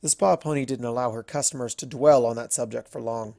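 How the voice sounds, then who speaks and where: Guy narrating a fanfic, mid pitched voice, dry close recording. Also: steady tone, fairly quick, creaky, warm tone, no room sound. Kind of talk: scripted fan fiction narration.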